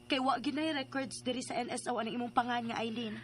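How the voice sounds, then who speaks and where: An actress plays an outspoken woman in a radio drama. Her voice is high, and her delivery is direct and matter-of-fact. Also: fairly even intonation, fast talker, lightly acted.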